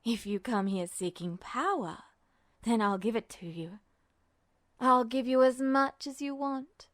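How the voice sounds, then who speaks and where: A woman voicing a cold, mocking godlike entity in an audio story, slow, drawling and condescending in a menacingly calm way. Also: a little high, no echo, clean studio sound. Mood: cold, taunting. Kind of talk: audio fiction reading.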